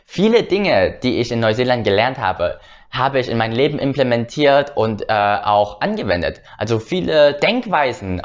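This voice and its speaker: Young male vlogger from Germany, mid-pitch, hesitant and casual, slightly dull lo-fi recording. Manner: hesitant, many "äh" fillers and restarts. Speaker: channel host. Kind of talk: casual personal storytelling.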